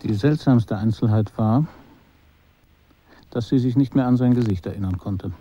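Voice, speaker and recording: An actor playing a man who narrates his memories in a literary radio drama, measured and understated, in slightly muffled sound. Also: medium pitch, mellow, natural voice. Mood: reflective. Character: male narrator recalling a woman he knew.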